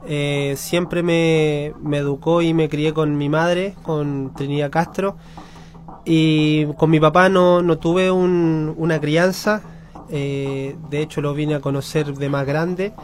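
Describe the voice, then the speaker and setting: Guy on the radio, kinda high voice, talks slow and thoughtful with lots of pauses. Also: steady tone. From Chile. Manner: reflective, pauses with frequent fillers. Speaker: guest. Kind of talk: radio interview, personal story.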